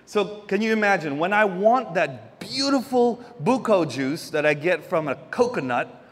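A man's voice: sits high, very animated, moderate pace, long reverb tail, slight background noise. A man from the Philippines, preaching a sermon in a chatty way with homely stories and frequent restarts. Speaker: pastor.

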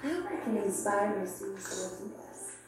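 An actress playing a timid, earnest, idealistic young student in a theatrical audio drama, speaking gently. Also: female voice, medium pitch, animated, moderate pace, natural voice. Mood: earnest, wistful. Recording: drama playback in echoey room, distant.

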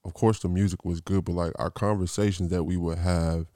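A man's voice: sits low, little pitch movement, a little fast, dark tone, no room sound, clean studio sound. A man hosting a podcast, telling a rambling, slangy story with lots of restarts. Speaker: podcast host. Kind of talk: casual interview intro.

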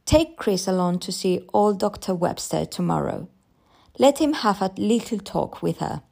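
A sceptical husband read by a woman narrator in a storybook. He is breezy, rational and reassuring, with an offhand manner and lively intonation. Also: mid-range voice, unhurried, gravelly, heavily acted.

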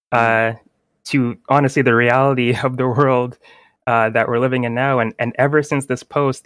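A man's voice: mid-range voice, fairly bright tone, smooth, no echo. A man co-hosting a gaming podcast, chatting casually and reading news aloud with small stumbles.

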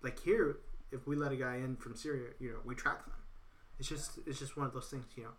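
A man's voice: mid-range voice, fairly even intonation, a little fast, a little hiss or hum. A man in casual political chat, rambling and repeating himself with lots of "you know". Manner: acts out others in quoted voices.

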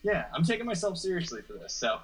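A man's voice: high voice, very animated, fast, husky, poor audio. A man co-hosting a podcast in casual joking chat, goofy and self-mocking with crude humor. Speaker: podcast co-host.

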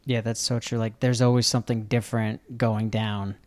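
A man's voice: on the low side, level tone, normal speed. A man hosting a travel podcast, chatting casually with a guest and often restarting his questions mid-sentence. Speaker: podcast host. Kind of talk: casual interview chat.

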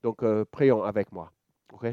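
Slow, mellow Australian man preaching. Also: on the low side, no room sound, dull audio. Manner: relaxed, joking, halting with many fillers and restarts. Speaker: church elder preaching. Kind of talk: sermon.

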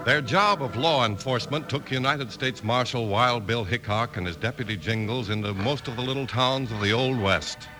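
A man's voice: mid-range voice, normal speed, few pauses, loud music behind the voice. A man announcing and narrating a 1950s radio western in an emphatic, scripted showman style.

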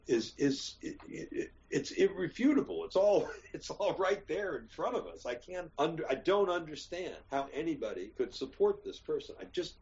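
An older American man rambles emphatically through his thoughts and swears without a pause. His voice sits at an ordinary middle pitch. It comes through as a dull, lo-fi video call. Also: darkish tone. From United States. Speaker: actor and guest. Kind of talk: candid interview chat.

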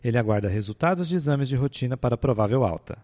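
A man's voice: mid-range voice, expressive, fast, background noise. A man with a Brazilian accent, hosting a podcast and narrating a scripted story as a nursing professor. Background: music.

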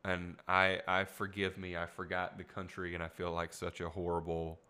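Rambling man with a bright voice. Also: low-pitched, completely flat delivery, moderate pace, clear, resonant tone. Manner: rambling, frequent restarts and asides. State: voice getting tired. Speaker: podcast host; music teacher. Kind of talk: casual solo podcast talk.

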